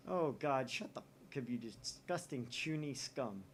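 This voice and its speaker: A man's voice: medium pitch, lively intonation, a little slow, slight background noise. A man hosting a let's play, reading a visual novel's chat posts aloud and swearing casually.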